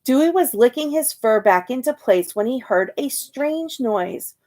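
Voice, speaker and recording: A woman reads a children's picture book at a very slow pace. Her voice sits fairly high, and she is expressive, often pausing to question or show things to young listeners like a storytime teacher.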